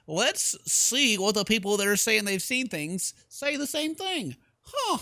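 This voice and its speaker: A man's voice: high-pitched, sing-song, measured pace, bright, forceful tone, no room sound, clean studio sound. A man giving casual, sarcastic, joking commentary as he plays a game. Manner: playful, sarcastic, exclamatory. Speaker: gaming YouTuber, let's player.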